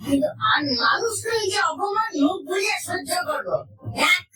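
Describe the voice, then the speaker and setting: A woman voicing a vengeful ghost of a bus worker in a horror audio story, shouting drawn-out threats in fury. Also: very high voice, expressive, pitched above the performer's own voice, full character voice. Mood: furious, threatening. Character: furious brawling ghost of a bus-crew man, threatening, vengeful. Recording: echoey, distant, music underneath.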